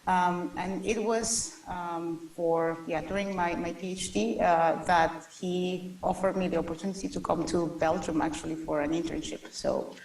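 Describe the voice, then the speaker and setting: A woman in her thirties, a researcher, introducing herself haltingly at a panel discussion. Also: lowish voice, steady tone, vocal fry, darkish tone. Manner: hesitant, halting delivery. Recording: echoey, distant remote-panel sound.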